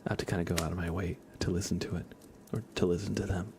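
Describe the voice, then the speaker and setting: Hushed, creaky low-voiced man, ASMR streamer. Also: flat delivery, very fast, slight background noise. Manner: hushed, often slipping into whisper. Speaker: ASMR livestream host. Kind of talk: soft ASMR livestream chat.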